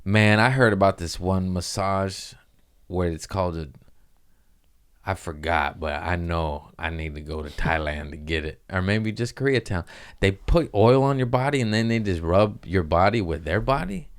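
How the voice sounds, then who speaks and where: A man's voice: sits low, moderate pace, clear, resonant tone. A man hosting a podcast, chatting in a casual, comedic way with plenty of slang and swearing. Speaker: podcast host.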